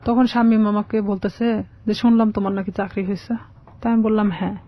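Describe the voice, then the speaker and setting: A woman's voice: medium pitch, fairly even intonation, quick pace, muffled recording. A young woman with a Bangladeshi Bengali accent telling a personal life story as a guest in a radio interview. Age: young adult.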